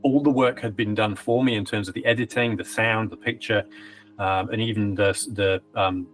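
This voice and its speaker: A man with a British accent softened by years in New Zealand, giving a client testimonial in a reflective, hesitant way, with frequent ums and restarts. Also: fairly low pitch, steady tone, moderate pace, faint music behind. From Britain. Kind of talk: conversational testimonial interview.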